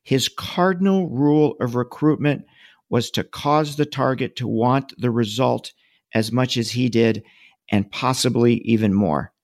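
A male interviewer asking questions and reading book passages aloud in a measured way. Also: medium pitch.

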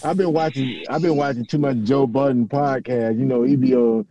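A man's voice: mid-range voice, normal speed, dull audio, loud music behind the voice. A man guesting on a podcast, teasing the host in loose, profane banter. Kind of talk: casual chat.

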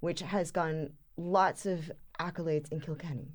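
A woman co-hosts a podcast, stumbling through thoughts with plenty of ums. Her voice sits low and sounds close and dry. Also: fairly even intonation, normal speed, no room sound. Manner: hesitant, filler-heavy. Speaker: podcast host. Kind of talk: casual chat.

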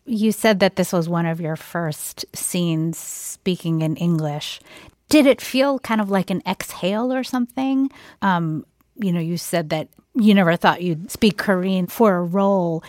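A woman's voice: fairly low pitch, measured pace, darkish tone, dry recording, clean studio sound. A woman asking conversational interview questions, tentatively rephrasing as she goes.

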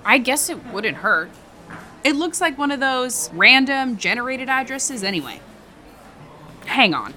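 A brash young woman in an audio drama, her bright voice pitched a little high. She is sarcastic, teasing and unrepentant, with a casual, animated delivery. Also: measured pace, pauses often, a little hiss or hum, heavily acted. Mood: casual, grudging. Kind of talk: naturalistic audio drama dialogue.

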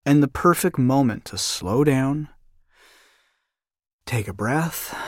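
A man's voice: medium pitch, dry recording. Male podcast host, dry sound. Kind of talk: scripted podcast introduction.

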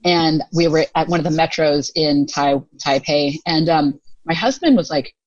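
A woman's voice: deep voice, normal speed, darkish tone, no echo, muffled recording. An American woman in her thirties telling stories as a podcast guest, talking casually with lots of fillers and laughs. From United States. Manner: chatty, many fillers, laughs often. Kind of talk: casual storytelling interview.

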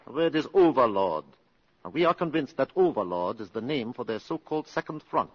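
An actor playing an anxious, insistent colonel buying secrets, speaking formally and urgently in a 1950s radio drama. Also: male voice, medium pitch, sing-song, a little fast, breathy edge. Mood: tense, insistent. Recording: dull 1950s radio broadcast.

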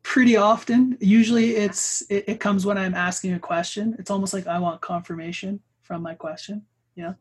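An upbeat woman with a Canadian accent who readily agrees and laughs. Her voice has a slightly breathy, husky edge. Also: mid-range voice, steady tone, moderate pace. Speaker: podcast co-host. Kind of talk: casual podcast chat.

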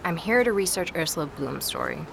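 A woman's voice: fairly low pitch, wildly swooping pitch, vocal fry, a bit bright, slight background noise, exaggerated voice. An actress playing a curious young woman podcaster who digs into a mystery, asking eager questions in an audio drama. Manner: eager, inquisitive, quick to question. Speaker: podcast host investigating a story.

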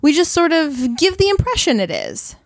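A woman's voice: high voice, huge pitch swings, moderate pace, dry recording, clean studio sound, heavily acted. An actress playing a shrewd, cynical woman con artist who is wry and matter-of-fact, in an audio play. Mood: wry, businesslike.

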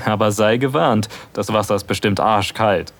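Husky, teasing lover on a hike. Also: male voice, on the low side, measured pace. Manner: teasing, flirty. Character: cheerful, teasing male lover leading a mountain hike. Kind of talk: natural audio drama dialogue.

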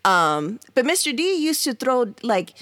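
A woman's voice: on the high side, very animated, a little slow, a bit bright, no room sound, clean studio sound. A woman in her thirties from San Diego hosting a podcast, talking in a laid-back, slangy way and laughing often. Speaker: podcast host. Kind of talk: casual podcast chat.

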